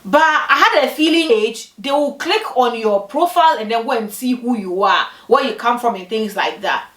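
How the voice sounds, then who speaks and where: A young woman from Ghana telling personal stories to camera in a lively way, acting out what other people said. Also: normal speed. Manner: animated, acts out quoted people with big pitch jumps. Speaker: vlogger. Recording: echoey room, voice from a distance.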